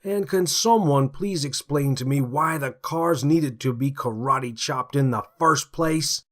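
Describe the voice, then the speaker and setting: A man's voice: medium pitch, measured pace, natural voice. A man voicing a weary, put-upon alpaca police officer in a read-aloud story, talking in a dry, exasperated way. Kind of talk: storybook character voice.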